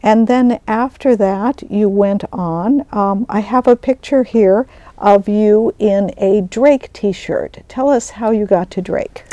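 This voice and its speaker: A woman hosts an interview. Her voice is soft-edged and mellow, recorded with very little room sound. She moves between introductions and conversational questions. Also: medium pitch, takes it slowly, no echo. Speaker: interview host; college business instructor.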